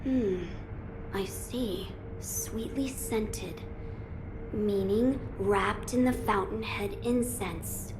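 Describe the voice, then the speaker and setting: A woman voicing a gentle, earnest young boy lord in a game cutscene, formal and pensive in manner. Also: medium pitch, drawn-out words, noisy background. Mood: pensive, curious. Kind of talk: dramatic game cutscene acting.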